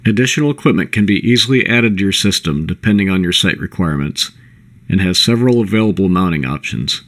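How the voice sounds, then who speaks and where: Male presenter, low register, for scripted product overview; measured, instructional read. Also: a bit bright. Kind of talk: scripted corporate narration.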